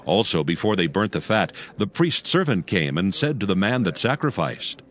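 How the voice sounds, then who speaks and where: A man's voice: low-pitched, sing-song, moderate pace, fairly bright tone. A man narrating a dramatized audio Bible from a script, with music behind him. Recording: faint music under the voice.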